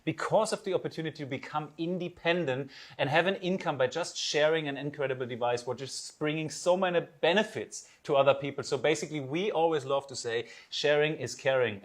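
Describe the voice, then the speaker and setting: A German-accented man talks up a product in a webinar with eager, persuasive energy. His voice sits a little high for a man, and his English phrasing is slightly non-native. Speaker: webinar co-host. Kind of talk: promotional webinar talk.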